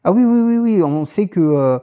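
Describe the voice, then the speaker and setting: A man with a Paris suburban accent tells anecdotes in an easygoing chat. His voice is mellow and dark. He acts out other people's lines and laughs along as he goes. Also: high voice, moderate pace. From Paris suburbs, France. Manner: animated, mimics voices in anecdotes, laughs often. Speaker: guest. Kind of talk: casual storytelling.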